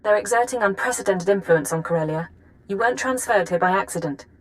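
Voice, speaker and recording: A woman's voice: mid-range voice, fast, natural voice, low-quality recording. A woman playing a composed but urgent intelligence analyst in a video game, speaking in a precise, businesslike way. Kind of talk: scripted video game acting.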